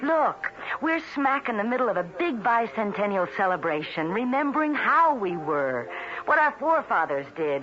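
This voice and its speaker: An actress playing an idealistic, feisty young woman reporter in a 1970s radio drama, earnest and quick-witted. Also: medium pitch, fairly bright tone. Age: young adult. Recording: muffled vintage radio broadcast, music behind.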